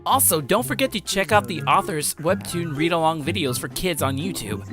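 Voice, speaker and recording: A man's voice: fairly high pitch, expressive, normal speed, over music. A man narrating as host, reading a scripted first-person story and promotional copy.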